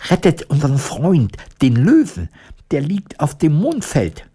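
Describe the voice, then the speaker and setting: A clever, confident storybook scarecrow, put on by a male narrator. The voice sits raised and lively, with a take-charge, reassuring manner. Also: fairly high pitch, measured pace, husky, voice pushed up, exaggerated voice. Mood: urgent. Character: resourceful male scarecrow, brisk and confident friend.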